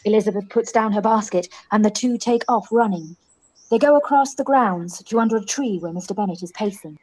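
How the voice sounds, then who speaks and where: A woman's voice: medium pitch, brisk, darkish tone, slightly rough, rough recording. A woman reading scripted narration and stage directions for a radio play.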